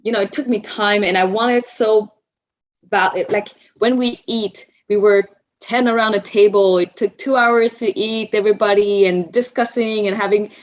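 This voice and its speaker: A woman whose first language is Vietnamese talks as a guest in a relaxed podcast conversation. She is reflective, often circling back and restarting as she looks for her words. Her voice sits at a middle pitch and comes through a somewhat muffled, lo-fi recording. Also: normal speed. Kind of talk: casual conversation. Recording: slightly dull lo-fi sound.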